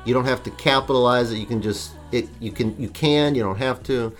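A man's voice: medium pitch, fairly quick. A man chatting loosely on his podcast, his voice a little congested from allergies. He rambles and jokes with plenty of fillers, and faint music sits behind him. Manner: rambling, joking, many fillers. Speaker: podcast co-host. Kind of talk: casual chat.

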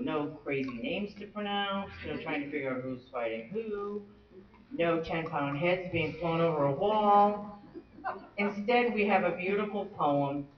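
A man's voice: a little high, a little hiss or hum. A man teaching a Bible study lesson in an earnest, conversational way with touches of light humor. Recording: roomy, distant, dull-sounding.

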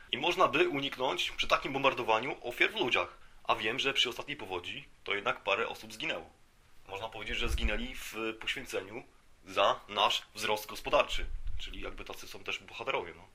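A man's voice: lowish voice, brisk, pushed and bright, husky. A man hosting a podcast, delivering a satirical monologue as a mock lecture in a rambling, conversational, mock-serious way with rhetorical tag questions. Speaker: podcast host.

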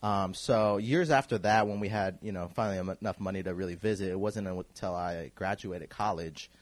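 Laid-back man with a low voice. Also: fairly quick, no echo. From Kansas City, USA. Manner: relaxed, rambling, many fillers and restarts. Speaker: guest, clothing designer. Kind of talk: casual podcast interview.

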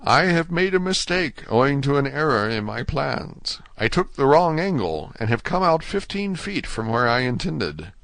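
A man voicing a mysterious older male prisoner in a storybook, subdued, measured and sorrowful. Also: mid-range voice, sing-song, gravelly, fairly bright tone, dry recording, pitched above the performer's own voice.

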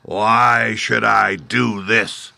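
Guy doing a huge roaring beast, slow gruff booming, kinda hollow. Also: fairly even intonation, bright, forceful tone, dry recording, heavily acted. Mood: menacing. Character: huge roaring five-eyed male beast.

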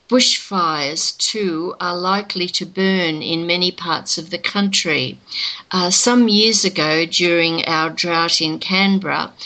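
A middle-aged Australian woman talking in a casual chat, explaining things slowly and clearly, as if to a learner. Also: fairly low pitch, bright, forceful tone, rough recording. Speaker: conversation partner explaining a topic.

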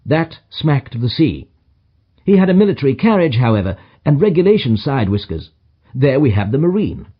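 Male narrator as superior gentleman detective, audiobook dialogue. Emphatic, dry, highly animated, slightly husky. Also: normal speed, highs cut off. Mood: smug, explanatory. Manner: emphatic, clipped pronouncements with dry condescension. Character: brisk, self-assured detective, languid yet energetic and superior.

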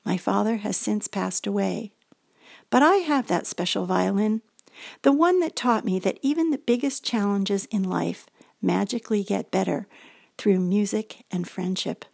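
Older American woman, reflective memoir narrator, a little gravelly, dry close mic. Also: mid-range voice, a little slow, dry recording. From United States. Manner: gentle, reflective storytelling. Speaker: feature presenter. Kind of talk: scripted personal narration.

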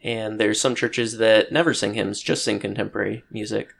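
Podcast co-host, male, low gravelly voice, hesitant and thoughtful, casual chat. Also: fairly even intonation, normal speed, a bit bright. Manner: thoughtful, hesitant, many ums and restarts.

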